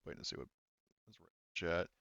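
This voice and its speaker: A man's voice: fast, fairly bright tone, no room sound, highs cut off. A man chatting casually while running an online tabletop game.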